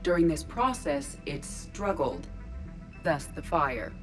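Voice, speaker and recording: A woman's voice: low voice, very animated, slow, faint music behind, exaggerated voice. A woman voicing a ship's artificial intelligence in a robot body for a video game, formal, precise and emotionless. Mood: calm, analytical. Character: female ship artificial intelligence in a robot body, coolly logical.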